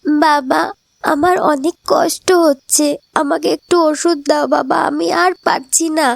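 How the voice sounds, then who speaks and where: Devoted little girl, cartoon melodrama. Extremely high voice, pleading and plaintive, dry recording. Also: fairly even intonation, a little slow, creaky, no room sound. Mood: suffering, pleading.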